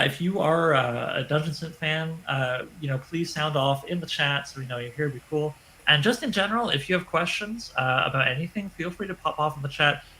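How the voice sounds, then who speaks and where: A man's voice: a little high, gravelly, a bit bright, a little hiss or hum, low-quality recording. A man hosting a livestream event in a chatty, loose way, with many fillers. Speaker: event host.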